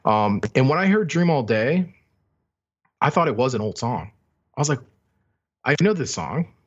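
A man's voice: medium pitch, very animated, moderate pace, breathy edge. A man talking casually about music as a podcast host, on a slightly dull, lo-fi recording.

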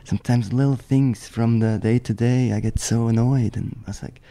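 A man's voice: mid-range voice, normal speed. A man in his thirties from the German-speaking part of Switzerland, chatting loosely as an interview guest with many restarts. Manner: relaxed, rambling, many restarts and "like". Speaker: guest, professional snowboarder. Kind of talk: casual interview chat.

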